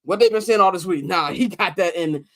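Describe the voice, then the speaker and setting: A man with a notably high voice talks through a sports recap in loose, slangy chat. He gets animated and slips into quick impressions of what others told him. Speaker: podcast co-host.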